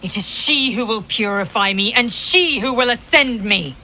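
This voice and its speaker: A woman's voice: on the high side, moderate pace, pushed and bright, a little hiss or hum. A woman playing a proud, fierce githyanki warrior in a fantasy game, haughty, blunt and formal in her clipped speech. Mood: defiant, shaken. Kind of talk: dramatic fantasy game acting.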